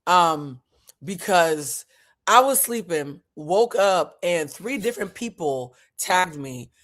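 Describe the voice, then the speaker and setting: Husky-voiced, frank vlog host in their 40s. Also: medium pitch, animated, takes it slowly, fairly bright tone. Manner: chatty, frank, swears freely. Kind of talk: casual impromptu chat.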